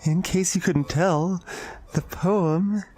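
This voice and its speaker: A game streamer voicing an obsessive, lovesick, unhinged teenage anime girl in visual novel dialogue, breathy and intense. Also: medium pitch, takes it slowly, a little mellow, soft background music, close to an everyday voice, rough recording. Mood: smitten, creepy.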